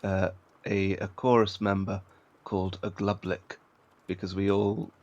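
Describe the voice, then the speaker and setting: A man's voice: deep voice, level tone, a little slow, darkish tone, lo-fi sound. A man, an actor, chatting casually and telling anecdotes as an interview guest.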